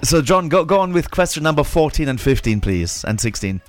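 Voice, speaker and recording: A man's voice: on the high side, very animated, fairly quick, no echo, faint music behind. A man co-hosting a radio interview, stammering and repeating words as he relays questions. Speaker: radio co-host.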